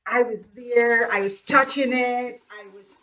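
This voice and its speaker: A woman's voice: on the high side, fairly even intonation, a little slow, bright, forceful tone, rough recording. A middle-aged woman from Guyana with a Guyanese accent, chatting casually about her childhood and laughing now and then as she tells the stories. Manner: chatty, laughs while reminiscing, repeats phrases. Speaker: host. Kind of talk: casual storytelling monologue.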